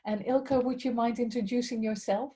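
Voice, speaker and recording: A woman's voice: a little high, steady tone, fairly quick, warm tone. A woman with a Dutch accent presenting a business webinar politely, often saying how grateful she is, over a video call. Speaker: head of strategic accounts.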